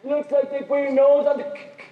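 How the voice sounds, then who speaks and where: A man acting as a pitiable, gentle outcast who stammers through his words. The voice is very high and flat, halting and meek. It is heard from far off in an echoey hall. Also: phone-quality sound. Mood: meek, pitying. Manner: hesitant, meek. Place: high school theater stage.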